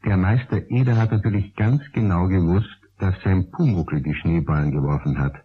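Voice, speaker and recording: An actor as the storyteller of a children's radio play, narrating. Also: male voice, low voice, moderate pace, husky. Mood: storytelling. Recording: phone-like, narrow old radio recording.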